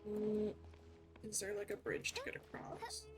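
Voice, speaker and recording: A woman's voice: mid-range voice, very animated, a little slow. Casual woman streamer with mid-range voice. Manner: thinking aloud, informal. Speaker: game streamer. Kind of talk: casual live gameplay commentary. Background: faint game music.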